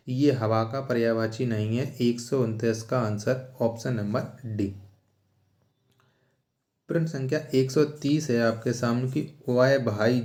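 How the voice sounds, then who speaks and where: A man's voice: mid-range voice, darkish tone. A man teaching exam questions step by step, repeating himself and asking rhetorical questions. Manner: repetitive rhetorical questioning, announcing each question and answer. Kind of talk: exam question-and-answer lesson. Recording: echoey room sound.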